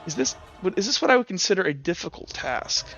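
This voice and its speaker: A man acting as game master in a tabletop roleplaying game, narrating off the cuff and calling for rolls in a halting, think-aloud way. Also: high voice, very animated, gravelly, muffled recording, over music.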